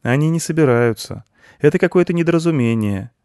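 A man's voice: mid-range voice, expressive, moderate pace, mellow, dry recording, clean studio sound, pitched above the performer's own voice, natural voice. A man voicing a friendly, well-mannered, eager little boy in a children's story. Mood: delighted. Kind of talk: storybook character voice.

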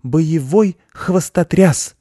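Man putting on a shrill, plucky little hare. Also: unhurried, mellow, husky, no echo, clean studio sound. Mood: faint, proud. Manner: shrill, dramatic, boastful. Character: small proud, frightened, plucky male hare.

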